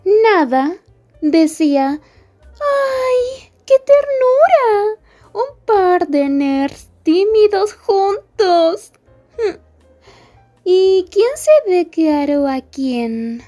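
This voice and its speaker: Woman acting a haughty, high-voiced, drawling bully girl. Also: very animated, extremely slow, warm tone, soft background music, full character voice. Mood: mock sweet. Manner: taunting, drawling, giggly sarcasm. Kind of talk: Spanish-language ASMR roleplay acting.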